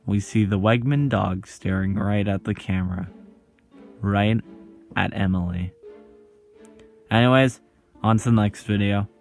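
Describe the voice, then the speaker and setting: Male video host for scripted explainer narration. Slow delivery with dramatic pauses between short phrases, light music underneath. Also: lowish voice.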